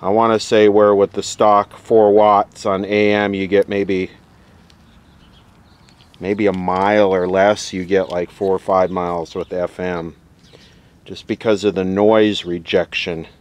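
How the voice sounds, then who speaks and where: A man's voice: low voice, level tone, unhurried. A man giving casual, unscripted hands-on commentary, rambling a little as he thinks aloud. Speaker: vlogger demonstrating vintage radio equipment.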